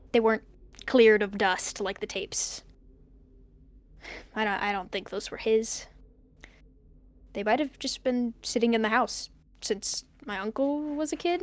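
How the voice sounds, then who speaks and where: A woman playing an uneasy young person recording a rambling, pensive diary in an audio drama. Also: fairly high pitch. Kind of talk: audio drama monologue, found-tape diary.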